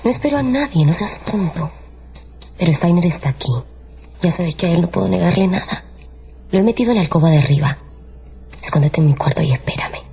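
Flirtatious actress, animated and lowish. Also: female voice, a little fast, vocal fry, long pauses, slight background noise. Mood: coaxing, hushed. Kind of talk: radio drama acting. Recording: muffled radio production with loud music.

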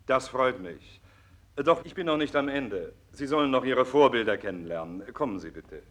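An actor playing a disillusioned, blunt senior professor and mentor in a 1960s German radio play, with a wry, didactic delivery. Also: male voice, medium pitch, lively intonation, moderate pace, a bit bright. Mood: didactic, solemn.

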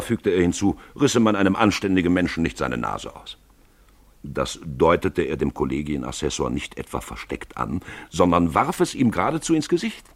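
A man narrating a radio drama from a literary script in an expressive, theatrical storytelling manner. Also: deep voice, no echo.

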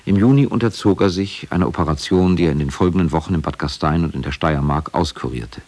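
A man with a low voice narrates a radio documentary. His delivery is scripted, sober and measured, setting out facts plainly. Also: darkish tone.

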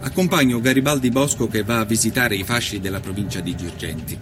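An actor playing an observant, composed newspaper journalist, narrating a scripted historical documentary. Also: male voice, lowish voice, fast talker, background noise, close to an everyday voice.

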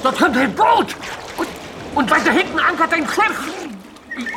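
An actor playing a dutiful, anxious young naval man, deferential and halting, in a radio play. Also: very high voice, very animated. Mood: anxious, urgent. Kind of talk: German radio play acting. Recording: very noisy outdoor radio drama scene.

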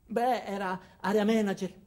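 An actor playing an earnest, insecure office man who narrates his own story in a deadpan, hesitant way in a comic radio drama. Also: high-pitched, normal speed, lightly acted.

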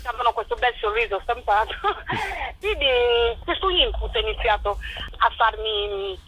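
A woman answering interview questions as a playwright and performer, in a colloquial, animated storytelling way. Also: fairly high pitch, moderate pace, a little hiss or hum, poor audio.

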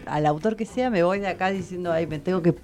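Low-voiced, eager woman co-host. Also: quick pace, a little mellow. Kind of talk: casual radio chat.

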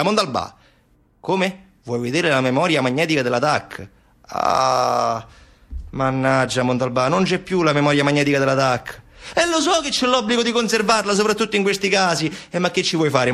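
A man's voice: fairly high pitch, fairly bright tone. An actor playing a chatty, sarcastic man in a satirical stage monologue, colloquial and falsely reassuring as he excuses things.

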